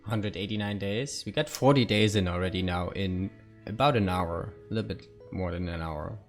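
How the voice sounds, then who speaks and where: A man's voice: sits low, normal speed, faint music behind. A young man, a native Hungarian speaker, chatting casually with viewers while streaming a game, full of fillers and asides. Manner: chatty, answers viewer chat, many fillers. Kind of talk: casual chat while gaming.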